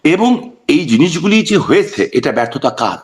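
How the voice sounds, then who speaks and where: A man's voice: on the high side, sing-song, normal speed. A Bangladeshi man giving impassioned political talk in an interview, emphatic, asking rhetorical questions, repeating phrases and mixing in English words. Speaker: political guest on a talk show.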